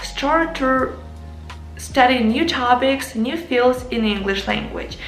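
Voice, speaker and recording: Higher-voiced Ukrainian woman giving English tips. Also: takes it slowly, fairly bright tone. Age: young adult. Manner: earnest, addresses viewers as guys. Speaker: English teacher and vlogger. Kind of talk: casual advice lesson to camera. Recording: echoey room with faint music.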